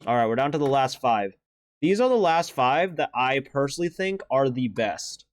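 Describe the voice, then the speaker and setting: A young man with a deeper voice giving casual, earnest and emotional commentary as he ranks favorite animated shorts, with sighs and restarts. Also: moderate pace. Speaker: video creator ranking animated shorts.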